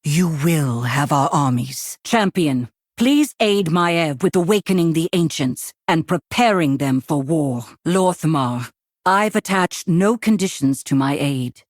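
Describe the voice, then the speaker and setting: An actress playing a stern, regal night elf ruler in a video game, stately and authoritative, with a husky voice. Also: female voice, low-pitched, expressive, takes it slowly, dry recording, clean studio sound, exaggerated voice. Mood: commanding. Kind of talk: dramatic video game voice acting.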